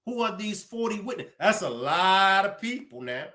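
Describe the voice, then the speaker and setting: A man's voice: high voice, sing-song, normal speed, bright, forceful tone. A man hosting a livestream about crime cases, repeating his questions to the chat for emphasis. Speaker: livestream host. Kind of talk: true crime livestream commentary.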